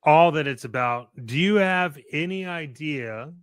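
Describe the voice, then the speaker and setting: A man's voice: a little high, expressive, unhurried, smooth, no room sound, studio quality. An American man co-hosting a podcast, chatting casually in a laid-back, informal way. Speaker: podcast co-host.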